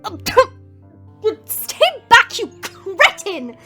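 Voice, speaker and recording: A woman acts out a proud fantasy heroine for an audio roleplay. Her voice is high and swoops expressively, with a slow, smug delivery. She sounds superior and teasing, yet caring underneath. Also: huge pitch swings, sharp tone, soft background music, full character voice. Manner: smug, sardonic, drawn-out delivery.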